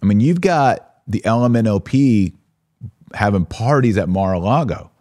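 A man's voice: fairly low pitch, animated, moderate pace, a little mellow, dry recording, studio quality. An American man hosting a live podcast, chatting casually and informally as he responds to listener comments. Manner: informal slangy talk. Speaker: podcast host.

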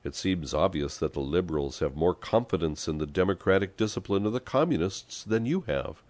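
A mild little middle-aged gentleman from a storybook, voiced by a male reader. He speaks quietly and courteously in a low register with little echo, measured and never flustered. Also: animated. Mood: politely unperturbed. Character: thin, middle-aged gentleman, polite, mild, unflappably calm.

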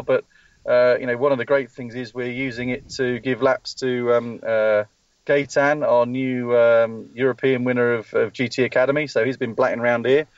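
A man's voice: medium pitch, no room sound, dull audio. Rambling man on a phone-in. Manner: rambling, many ums and restarts. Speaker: motorsport team spokesperson. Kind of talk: live call-in interview. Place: at a race track testing session.

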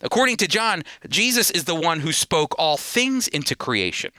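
A man's voice: a little high, very animated, normal speed, sharp tone, no room sound, clean studio sound. A man teaching the Bible in an emphatic sermon style, repeating phrases for stress as he explains and reads scripture. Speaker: Bible teacher and show host.

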